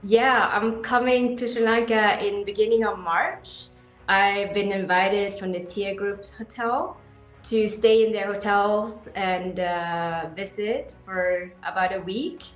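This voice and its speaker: A woman in her thirties who grew up in Sweden, talking eagerly in an interview with frequent ums and pauses. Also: medium pitch, fairly even intonation, reverberant, soft background music, rough recording. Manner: enthusiastic, hesitant with many fillers. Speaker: interview guest, equestrian athlete. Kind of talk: casual interview answers.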